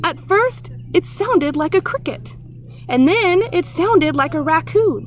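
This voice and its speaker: A woman voicing a fussy, jumpy male owl who talks in a high, excitable way. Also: a little slow. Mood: anxious.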